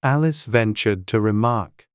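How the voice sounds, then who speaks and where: A woman's voice: extremely low pitch, measured pace, smooth, dry recording, clean studio sound. A woman reading scripted storybook narration as an audiobook narrator.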